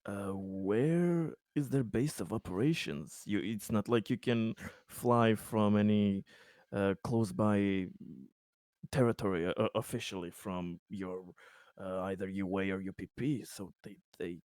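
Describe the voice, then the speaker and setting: A man playing a tabletop roleplaying game, reasoning through questions in character with halting, deliberate, conversational phrasing. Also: fairly low pitch, a little mellow, dry recording, studio quality. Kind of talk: casual in-character game discussion.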